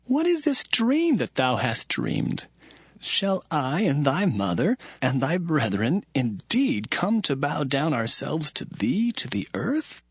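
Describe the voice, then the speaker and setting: Man playing a husky, slow old patriarch. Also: a little high, wildly swooping pitch, no echo, clean studio sound, heavily acted. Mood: rebuking, indignant. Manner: slow, emphatic, theatrical. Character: old patriarch father, stern but loving. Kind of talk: dramatized Bible audio acting.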